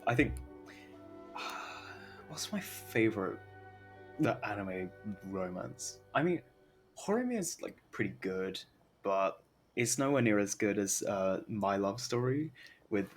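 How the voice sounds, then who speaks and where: A young man casually commentating on a game stream in a slangy, rambling, jokey way. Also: fairly low pitch. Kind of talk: casual live game stream commentary, reading aloud and reacting. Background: game music.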